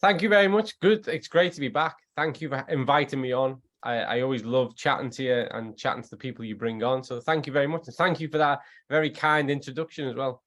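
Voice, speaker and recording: Male, Liverpool accent, mid-pitched voice, effusive storyteller, conversational, expert guest. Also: a little fast, hardly any pauses, a bit bright. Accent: Liverpool English. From Liverpool, England. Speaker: guest scientist and former athletes' trainer. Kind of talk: casual chat on an online group call.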